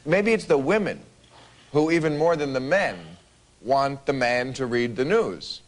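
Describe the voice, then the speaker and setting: A man's voice: medium pitch, expressive, unhurried. A middle-aged man hosting a talk show, wry and joking while arguing points in a studio before an audience.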